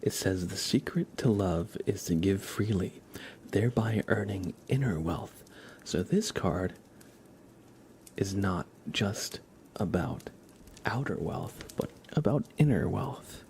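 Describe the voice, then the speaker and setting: A man hosting an ASMR livestream in a soft, hushed voice that often nears a whisper, chatting and reading in a laid-back, gently joking way with halting pauses. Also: deep voice, expressive, slight background noise. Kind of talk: ASMR, casual chat and tarot reading for viewers.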